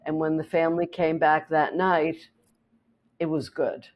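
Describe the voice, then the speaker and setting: A woman's voice: low-pitched, unhurried, muffled recording. German-native woman real estate agent. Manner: steady, explanatory, with small restarts. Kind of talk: interview storytelling.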